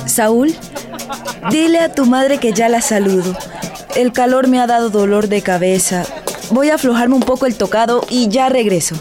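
Actress as proud anguished young bride over loud music. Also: female voice, a little high, moderate pace, lightly acted. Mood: composed. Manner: imperious, brooding. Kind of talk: melodramatic audio drama acting. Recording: loud music bed under the voice.

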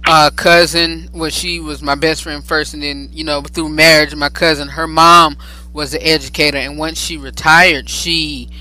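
A man's voice: fairly high pitch, little pitch movement, moderate pace, sharp tone, faint music behind, low-quality recording. Rambling talk-show host, man. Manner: rambling, with many fillers and affirmations. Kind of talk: interview conversation.

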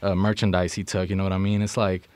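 A man's voice: deep voice, flat delivery, quick pace, darkish tone, dry recording, clean studio sound. A young American man in casual conversation, talking in an informal, slangy way and often checking in with the listener. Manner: informal, slangy, frequent "you know what I mean".

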